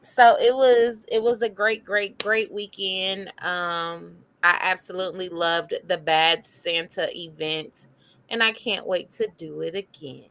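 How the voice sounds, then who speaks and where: A woman co-hosting a casual adult chat show, telling a story in a relaxed way with lots of ums. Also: fairly low pitch, unhurried. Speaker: co-host.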